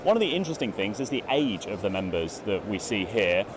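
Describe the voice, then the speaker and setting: Male reporter, fast, lowish, descriptive, loud street noise. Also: big pitch swings. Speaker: newspaper reporter. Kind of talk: news report to camera.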